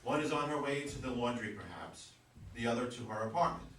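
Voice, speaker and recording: A man's voice: medium pitch, animated, brisk, fairly bright tone. Man reading stage directions, distant and echoey. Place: echoey room at a live reading. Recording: echoey room, distant mic, noisy.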